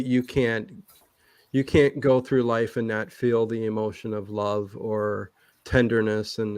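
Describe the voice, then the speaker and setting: A man's voice: medium pitch, dry recording, clean studio sound. A man chatting casually as an interview guest, rambling and hesitant, often repeating words as he works out a thought. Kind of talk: casual personal chat.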